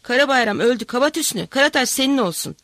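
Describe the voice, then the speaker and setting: A woman reading a novel aloud, voicing a rough village man who shouts curses. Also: sits high, expressive, quick pace, no echo. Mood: scornful.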